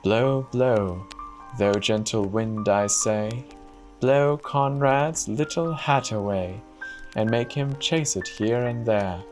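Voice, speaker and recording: Man voicing a chanting young princess, raised pitch. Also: takes it slowly, darkish tone, soft background music, lightly acted. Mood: commanding chant. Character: gentle young princess working as goose girl, chanting rhymes.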